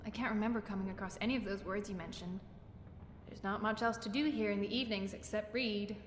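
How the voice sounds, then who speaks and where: A woman's voice: medium pitch, fairly even intonation, fast talker, natural voice. A woman playing an eager, awkward, bookish young woman in a fantasy video game, gushing and rambling as she corrects herself. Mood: thoughtful. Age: young adult. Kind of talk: video game character acting. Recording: echoey hall, faint background noise.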